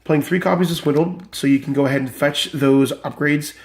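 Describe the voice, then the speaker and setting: Enthusiastic male deck reviewer, slightly high voice, still recovering from illness, chatty and rambling. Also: fairly even intonation, fairly quick. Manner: enthusiastic, rambling with many fillers. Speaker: card game deck reviewer. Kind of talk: casual deck walkthrough.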